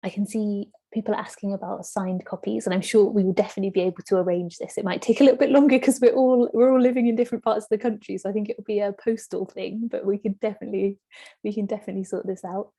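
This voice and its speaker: Female host, mid-pitched and husky, mellow tone, casual delivery. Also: fairly even intonation, fast. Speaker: host of an online book launch. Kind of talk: informal event hosting. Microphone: online video call.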